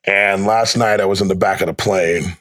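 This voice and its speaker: A man's voice: low voice, steady tone, normal speed, fairly bright tone. Wry male sports announcer chatting casually. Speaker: basketball radio play-by-play announcer, guest. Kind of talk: casual podcast conversation.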